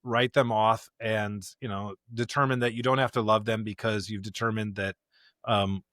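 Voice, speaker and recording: A man's voice: fairly low pitch, fairly even intonation, normal speed, a bit bright, no room sound, studio quality. A man hosting a podcast in a conversational, informal and emphatic way, like a pastor talking scripture with a colleague.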